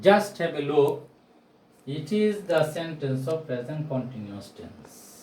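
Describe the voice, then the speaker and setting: A man teaches a grammar lesson as a non-native English speaker, in a fairly high voice. He speaks slowly and deliberately, repeating key phrases and posing questions to learners. The sound comes from some distance away from the microphone. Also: big pitch swings, dark tone, slight background noise. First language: not English. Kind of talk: whiteboard grammar lesson, explanatory with rhetorical questions. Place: small indoor room with bare walls, at a whiteboard. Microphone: camera or phone mic a few steps away. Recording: roomy echo, distant camera microphone.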